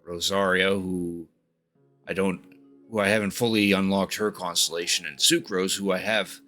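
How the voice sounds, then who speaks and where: A man giving casual livestream game commentary, informal and a bit halting as he talks. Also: deep voice, fairly even intonation, bright, forceful tone. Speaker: game streamer. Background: game music.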